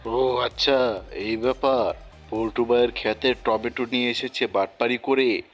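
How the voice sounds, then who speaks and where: Man acting a forthright animal neighbour, mid-pitched. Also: fairly even intonation, unhurried, muffled recording, faint music behind, natural voice. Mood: curious, resolved. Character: nosy, forthright male cartoon animal neighbour. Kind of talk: cartoon dialogue.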